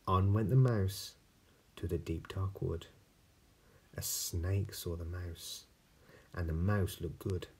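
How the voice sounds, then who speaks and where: Man, low voice, husky, children's story read-aloud. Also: fairly even intonation, slow pace, long pauses, a little mellow. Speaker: story reader.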